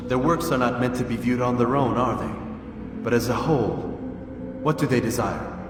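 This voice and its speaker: A man's voice: mid-range voice, normal speed, noisy background, lightly acted. Man playing blunt young assassin, heavily echoed. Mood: intent. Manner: stern, terse, challenging. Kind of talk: dramatic video game acting. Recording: distant, very echoey hall, music behind.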